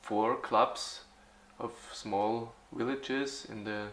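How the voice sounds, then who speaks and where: A man in his forties from Austria, answering interview questions haltingly, with many fillers and restarts. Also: medium pitch, fairly even intonation, a bit bright, reverberant. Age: 40s. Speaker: youth football club organizer.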